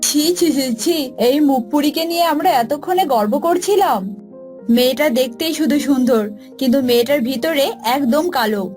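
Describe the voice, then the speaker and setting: A woman's voice: high-pitched, moderate pace, darkish tone, natural voice. A woman voicing a stern, indignant older village mother in a cartoon, scolding with scorn. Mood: scornful. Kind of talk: cartoon dialogue acting. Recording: music bed behind voice.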